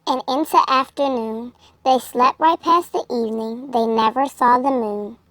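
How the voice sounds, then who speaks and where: A woman reading a children's storybook aloud, with measured, expressive phrasing for young listeners. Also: on the high side, dry recording. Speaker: children's book reader. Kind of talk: storybook read-aloud narration.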